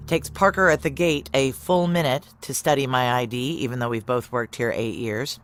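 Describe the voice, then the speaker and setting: Tough american woman in her 30s, deadpan noir narration, blunt and sardonic, bit of background noise. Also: rumbling low, moderate pace. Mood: wry, brisk. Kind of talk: first-person noir audio drama narration.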